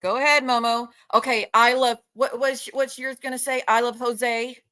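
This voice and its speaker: A woman with a fairly high, sharp and forceful voice, streaming live to her audience. She rants without a script in a confrontational way. Her delivery is thick with sarcasm and peppered with swearing. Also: little pitch movement, moderate pace. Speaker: online streamer addressing viewers. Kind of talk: unscripted live rant, addressing viewers directly.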